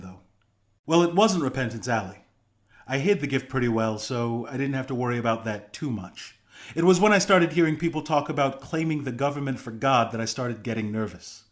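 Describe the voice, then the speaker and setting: A man's voice: mid-range voice, close to an everyday voice. A young man playing an earnest, plainspoken griffin rebel and former soldier in a video game. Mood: grim, confiding. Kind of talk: video game character dialogue.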